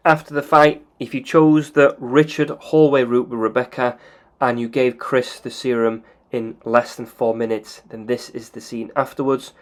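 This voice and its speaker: A man calmly explaining video game story triggers in a methodical, plain way. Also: medium pitch, normal speed.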